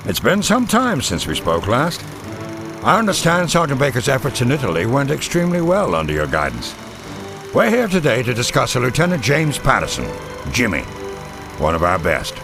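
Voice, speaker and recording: An actor playing a middle-aged intelligence colonel from the Second World War, delivering a formal, measured mission briefing in a game cutscene. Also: male voice, mid-range voice. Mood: grave, admiring. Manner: measured, formal, crisp military delivery with weighty pauses. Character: formal, authoritative WWII American intelligence colonel briefing officers. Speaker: military briefing officer. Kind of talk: scripted dramatic military briefing.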